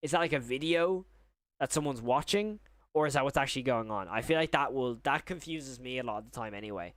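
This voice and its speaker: Smooth-voiced young man rambling on a livestream. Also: mid-range voice, expressive, a bit bright, dry recording. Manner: rambling, halting, rephrases himself mid-thought. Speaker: live streamer and horror video reviewer. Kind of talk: casual live-stream chat, reacting and reading viewer messages.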